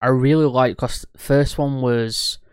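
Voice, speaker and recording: Male co-host, eager rambler who restarts his sentences, clear tone. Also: mid-range voice, steady tone, measured pace, dry recording, studio quality. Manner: eager, rambling, corrects himself mid-sentence. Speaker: podcast co-host. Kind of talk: casual podcast chat.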